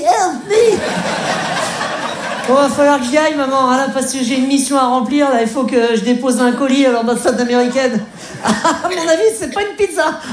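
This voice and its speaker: A woman's voice: high-pitched, natural voice. A woman performing a comedy sketch as a naive young man phoning his mother, rambling and hesitant with many fillers. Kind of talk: stand-up character sketch. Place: stage before a live audience. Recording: echoey live stage, audience noise.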